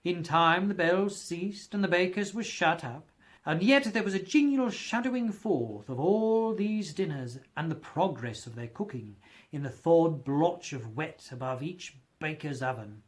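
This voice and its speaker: High-pitched, animated male storyteller. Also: measured pace. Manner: theatrical, expressive. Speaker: audiobook narrator. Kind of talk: dramatic scripted storybook narration.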